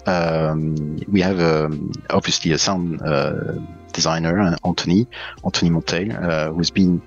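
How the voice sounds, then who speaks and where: Low-pitched belgian guy in his 40s, lots of ums, muffled call audio. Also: steady tone, a little slow, faint music behind. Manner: hesitant, many fillers and restarts. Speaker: head of a game studio. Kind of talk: casual interview over video call. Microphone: headset over video call.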